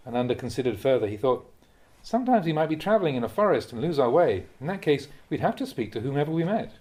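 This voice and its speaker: Measured man reading a Buddhist talk. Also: mid-range voice, big pitch swings, warm tone. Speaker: Buddhist monk reading translated teachings aloud. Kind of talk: audiobook-style reading of a dharma talk.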